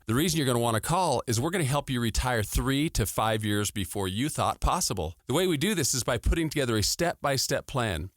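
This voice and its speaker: A man who founded a financial firm, delivering a direct-response radio ad pitch to listeners. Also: dry recording, studio quality.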